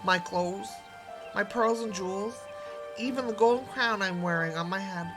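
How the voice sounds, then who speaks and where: A woman's voice: mid-range voice, moderate pace, music in the background, close to an everyday voice. A woman voicing a spoiled, disdainful young princess in a storybook fairy tale, petulant and dramatic. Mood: eager.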